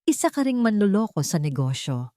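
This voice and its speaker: A woman voicing a composed, defiant young woman in an audio love drama, calm, cutting and deliberate. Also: medium pitch, no echo, studio quality, pitched above the performer's own voice, natural voice. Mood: cold accusation.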